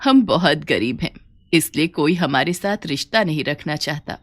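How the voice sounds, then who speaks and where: A woman voicing a gentle, devout elderly village mother in a cartoon story. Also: low voice, lively intonation, moderate pace, voice pushed down. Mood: wistful pleading.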